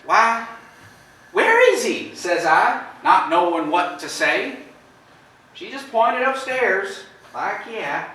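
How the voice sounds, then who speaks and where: Man playing folksy farmer, swooping pitch, echoey stage. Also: sing-song, bright, forceful tone, heavily acted. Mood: shaken, animated. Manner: folksy, halting storytelling with dramatized quotes. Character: plain-spoken neighboring farmer recounting a shocking visit. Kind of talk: amateur stage play acting. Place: theatre stage, indoors. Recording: echoey stage from far off, faint noise.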